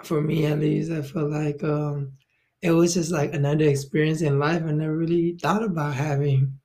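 A man's voice: on the high side, level tone, moderate pace, gravelly, warm tone. A young man from Thailand speaking as a podcast guest. He talks in a rambling, laid-back way, with many fillers and restarts. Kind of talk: casual conversational interview.

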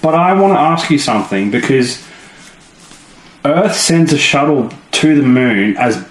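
A man's voice: animated, a little slow. A man co-hosting a podcast, chatting casually and playfully about a TV episode, sometimes jumping into silly voices. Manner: playful, mimics characters with sudden jumps in pitch. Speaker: podcast co-host. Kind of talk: casual chat reviewing an episode. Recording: echoey room, faint background noise.

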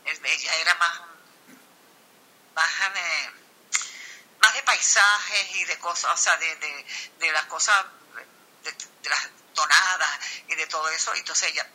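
Woman from Venezuela, rambling story, bright voice, husky edge, lo-fi call audio. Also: sits low, unhurried, pauses often. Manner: rambling, many restarts and fillers. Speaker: singer. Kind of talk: casual storytelling in an online chat.

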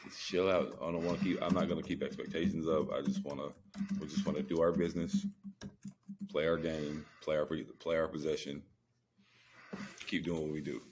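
A man with a very deep voice talks quickly as a podcast co-host. His sports chat is casual and slangy, full of repeated words for emphasis. There is some background noise on the recording. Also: level tone.

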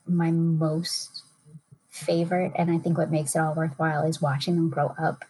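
A woman's voice: low-pitched, level tone, normal speed, mellow, a little hiss or hum, low-quality recording. A woman chatting casually as a guest, a little hesitant as she thinks aloud. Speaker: podcast guest, a parent. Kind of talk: casual chat.

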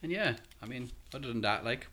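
A man in his thirties with a soft Irish accent, a podcast guest talking casually with lots of ums and restarts. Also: mid-range voice, lively intonation, fairly quick, a little hiss or hum. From Ireland. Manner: hesitant, many ums and restarts.

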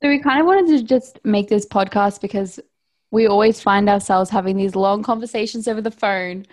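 A woman's voice: mid-range voice, normal speed. A woman, co-hosting a podcast over a phone call, upbeat and laughy, full of "like". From Australia. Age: 20s. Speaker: podcast co-host. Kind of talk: casual chat.

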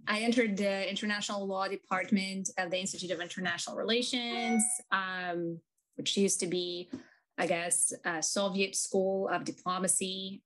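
Ukrainian-accented woman, low, thoughtful. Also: a bit bright, low-quality recording. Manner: thoughtful, frequent ums. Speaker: lawyer, interview guest. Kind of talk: conversational interview.

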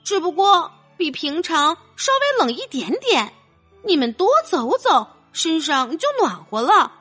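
Cheerful leader elephant for a picture book female performer, eager, high-pitched, very lively. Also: a little slow, faint music behind, heavily acted. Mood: encouraging. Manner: chirpy, encouraging, eager. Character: cheerful, playful young elephant leading the herd.

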